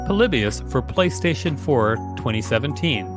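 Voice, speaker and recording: A man's voice: medium pitch, very animated, normal speed. Man reading an article over music. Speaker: podcast co-host reading an article. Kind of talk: reading an encyclopedia article aloud.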